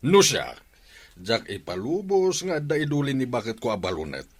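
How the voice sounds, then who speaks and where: A man's voice: medium pitch, sing-song, normal speed. An actor with an Ilocano accent playing the captain's joking, ribbing male friend. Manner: ribbing, chuckling.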